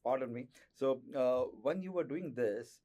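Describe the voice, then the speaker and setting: A man's voice: mid-range voice, unhurried, mellow. A man hosting an interview, asking effusive questions in flowery, repetitive phrasing. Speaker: interview host.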